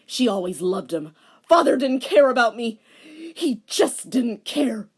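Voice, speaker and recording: A woman's voice: a little high, very animated, unhurried, slightly rough, voice pushed up, exaggerated voice. A woman voicing a menacing, bitter male vampire lord in a dramatic fan fiction reading, with grandiose villain delivery.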